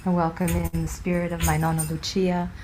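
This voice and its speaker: A middle-aged woman giving a prepared personal presentation, telling her story in a reverent, deliberate way. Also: lowish voice, little pitch movement, a little mellow, a little hiss or hum, poor audio. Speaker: student presenting a thesis.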